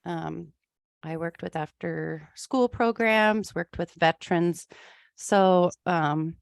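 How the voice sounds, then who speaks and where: A woman from Iowa with a low, gravelly voice, answering questions in an easygoing way and often pausing with "um." The sound is dry and close. She is a music therapist talking with an interviewer. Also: no echo. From Iowa, USA. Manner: easygoing, frequent ums, light laughs.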